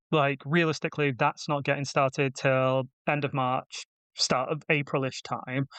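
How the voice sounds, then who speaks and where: A man's voice: on the high side, a bit bright, clear, resonant tone, no echo. A man co-hosting a casual film podcast, bantering and teasing his co-host in a jokey way.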